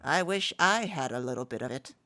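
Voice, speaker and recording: A woman's voice: rumbling low, animated, a little fast, pushed and bright, exaggerated voice. A woman voicing an earnest, theatrical cartoon character in a live comic dub. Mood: wistful, earnest.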